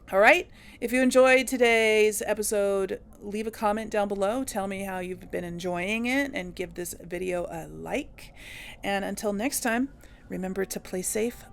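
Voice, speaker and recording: Woman let's play host with a gravelly edge, chatty, talks to viewers while she plays. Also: mid-range voice, a little hiss or hum. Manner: reads game text aloud in an even voice. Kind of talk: casual gameplay commentary.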